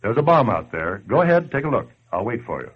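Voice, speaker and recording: A man's voice: medium pitch, big pitch swings, quick pace, slightly rough. An American man acting as a brash, wisecracking 1940s radio narrator who badgers the listener in sardonic slang. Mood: sardonic goading. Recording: tinny, band-limited old radio broadcast.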